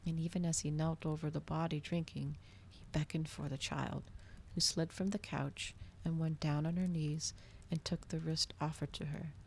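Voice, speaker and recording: A woman streaming an ASMR storybook reading in a hushed voice that often slips into whispers. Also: low-pitched, flat delivery, moderate pace, vocal fry, hardly any pauses, a little hiss or hum.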